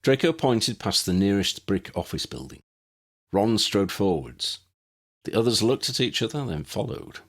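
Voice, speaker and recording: A deep-voiced man narrates a story from a script. His pitch moves in lively sweeps, and the voice has a husky edge to it. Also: normal speed. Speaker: audiobook narrator.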